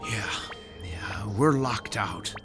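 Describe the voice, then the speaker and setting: A low-voiced, halting scientist in a doomsday radio drama. He sounds shaken and fatalistic, with clear music behind him. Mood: shaken, agitated. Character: Bob, shaken male scientist locked out, fatalistic.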